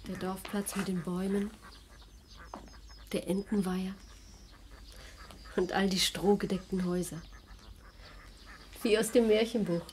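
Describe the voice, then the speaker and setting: An actress playing a friendly, soothing wife in a German radio crime drama. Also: female voice, medium pitch, fairly even intonation, a little slow, pauses often, darkish tone, slight background noise, natural voice. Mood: charmed, gentle. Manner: light, conciliatory, friendly. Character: pleasant, soothing wife charmed by village life.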